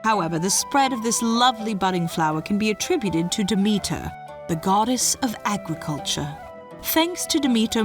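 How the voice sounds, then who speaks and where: A woman narrator with a medium pitch tells a story in a measured, dramatic style. Music plays behind her scripted delivery.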